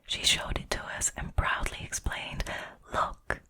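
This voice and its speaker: A woman speaking in a breathy whisper, telling short stories and chatting casually about them in a relaxed ASMR style. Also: moderate pace. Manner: informal, chatty, amused. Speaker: host reading and reacting to short stories.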